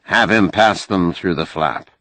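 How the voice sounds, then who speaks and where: A man's voice: low-pitched, unhurried, bright, forceful tone, dry recording. A man voicing a shrewd, stubborn male boss giving firm orders in an audiobook. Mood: firm, commanding.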